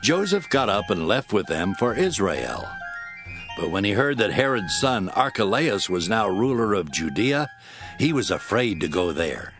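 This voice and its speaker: A man's voice: fairly low pitch, big pitch swings, measured pace, music in the background. A man giving scripted dramatic narration of the Bible.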